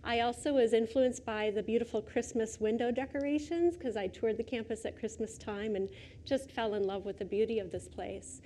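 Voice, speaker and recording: A soft-spoken middle-aged woman giving a public lecture in a lecture hall, chatty and personal. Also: a little high, normal speed, slight background noise. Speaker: guest lecturer. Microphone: lecture microphone.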